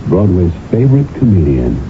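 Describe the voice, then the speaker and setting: Deep-voiced worried husband, hissy radio. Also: male voice, sing-song. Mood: gentle, concerned. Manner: halting, pleading, exasperated. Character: worried, protective husband, exasperated yet tender. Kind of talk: radio drama acting. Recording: tinny, hissy old radio broadcast.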